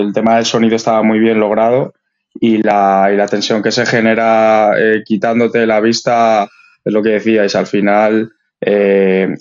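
Male guest, Spain accent, colloquial casual chat, muffled lo-fi online audio. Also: lowish voice. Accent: Spain Spanish. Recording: dull lo-fi online connection.

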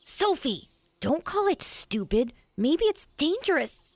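A woman as a high-voiced, cautious young boy. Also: big pitch swings, slow, no room sound, exaggerated voice. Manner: worried, earnest. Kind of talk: children's audio drama acting.

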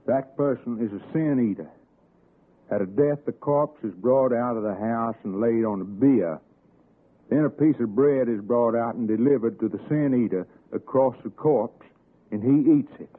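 A man's voice: medium pitch, slightly rough. An actor playing a grave, menacing hill man with an Appalachian accent, folksy and ominous, in a 1960s radio suspense drama. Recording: narrow, lo-fi vintage radio recording.

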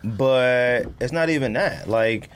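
A man chatting casually about his hobby as a podcast co-host, animated, slangy and emphatic. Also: medium pitch, takes it slowly, a little hiss or hum. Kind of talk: casual sneaker chat.

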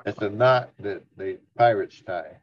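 A man's voice: fairly low pitch, unhurried, a crowd in the background. A man chatting casually as he guesses words on a panel segment. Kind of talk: casual chat. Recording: distant mic, dull sound.

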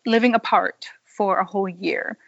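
A woman who grew up in Thailand, telling her life story in an unhurried, thoughtful interview, with small laughs. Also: mid-range voice, fairly even intonation, creaky, dry recording, muffled recording. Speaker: podcast guest. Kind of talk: conversational interview.

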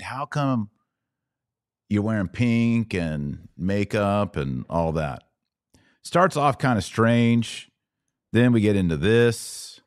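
A man's voice: fairly low pitch, a little mellow, dry recording, clean studio sound. A man with an American accent giving live podcast commentary in a conversational way, posing pointed rhetorical questions. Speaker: podcast host.

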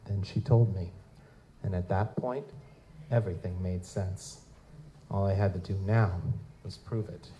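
A man's voice: sits low, steady tone, a little slow, pauses often, mellow, slight background noise. A man playing a streetwise, cheeky lutin goblin private investigator, narrating and talking in a casual, wisecracking noir style for an audio drama. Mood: wry, suspenseful. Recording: music behind, live stage performance.

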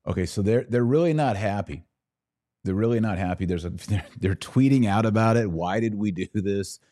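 A man's voice: on the low side, moderate pace, mellow. A man hosting a podcast, giving casual, opinionated political commentary in a conversational way with some mocking mimicry.

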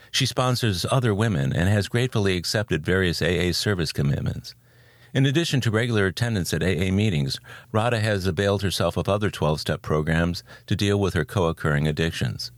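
A man's voice: low voice, no echo, studio quality. A man speaking as a podcast host, delivering introductions and interview questions. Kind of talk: scripted introduction, then conversational interviewing.

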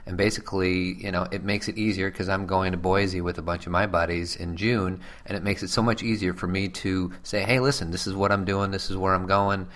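A man's voice: sits low, steady tone, a little fast, few pauses, fairly bright tone. A man in his thirties co-hosting a podcast, explaining his view in a casual talk. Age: 30s. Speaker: podcast co-host. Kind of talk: casual conversation.

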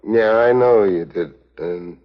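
Low, deadpan American tough guy. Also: slow pace. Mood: cool, sardonic. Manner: clipped, deadpan, sardonic. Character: tough, wry American secret agent, cool and sardonic. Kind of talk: 1950s hard-boiled spy drama acting and narration. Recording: dull 1950s TV film soundtrack.

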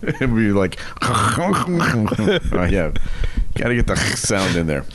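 A man's voice: fairly low pitch, big pitch swings, slow, a crowd in the background. A man co-hosting a podcast in casual comic banter. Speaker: podcast co-host.

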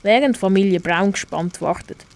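A woman's voice: medium pitch, moderate pace. A teenage girl with a Swiss German accent reading scripted narration for a school radio play.

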